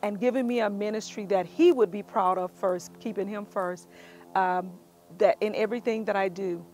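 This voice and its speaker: A woman's voice: mid-range voice, fairly even intonation, normal speed, darkish tone, slight background noise. A middle-aged woman with a Southern Texas accent, speaking earnestly and emphatically as she gives personal testimony to camera. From Spanish Camp, Texas, United States. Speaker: church music minister. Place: outdoors at the doorway of a wooden church.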